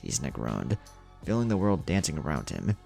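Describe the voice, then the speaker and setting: A man narrates a scripted story in a deep voice with plenty of gravel. His pitch moves around in an animated way as he reads. Music plays behind him. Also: a little fast.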